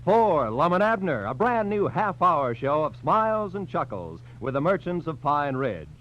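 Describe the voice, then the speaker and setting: Higher-pitched American 1940s radio announcer man. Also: big pitch swings, moderate pace, a little hiss or hum. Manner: polished, emphatic, formal. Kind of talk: 1940s radio announcing, scripted credits and promotion. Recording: dull 1940s radio recording.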